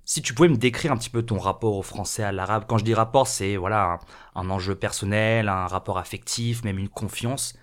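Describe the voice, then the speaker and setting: A man hosting a radio interview, asking measured, probing questions. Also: on the low side. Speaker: radio host. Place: radio studio.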